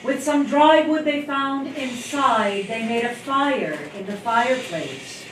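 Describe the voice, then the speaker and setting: A woman's voice: high voice, slow. A woman reading a spooky story aloud as scripted narration. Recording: noisy, echoey, distant microphone.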